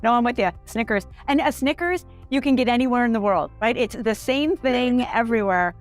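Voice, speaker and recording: A woman's voice: fairly high pitch, brisk, faint music behind. A woman hosting a casual interview, chatty and upbeat. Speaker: host.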